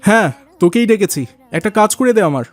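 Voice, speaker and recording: A man's voice: sits high, lively intonation, fast, soft background music. A man voicing a pompous, condescending rich businessman and father in a cartoon. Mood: bossy. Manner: bossy, condescending. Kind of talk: cartoon dialogue acting.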